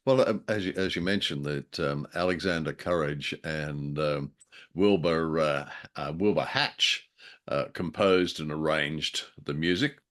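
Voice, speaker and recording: A man's voice: rumbling low, measured pace, a bit bright. A man co-hosting a casual review chat about old radio drama, with a wry, jokey manner and a taste for dramatic turns of phrase. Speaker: podcast co-host.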